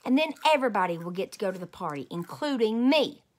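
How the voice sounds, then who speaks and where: A woman's voice: medium pitch, sing-song, fast. Woman acting a little kindergarten girl, storybook character, sassy, stubborn, childish grammar, emphatic excuses. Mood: scheming.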